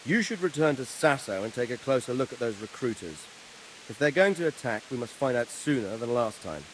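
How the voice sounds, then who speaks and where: An actor playing an authoritative nobleman who gives brisk orders in a video game cutscene. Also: medium pitch, very animated, slight background noise, lightly acted, rough recording. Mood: commanding. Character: commanding nobleman, the squire's lord.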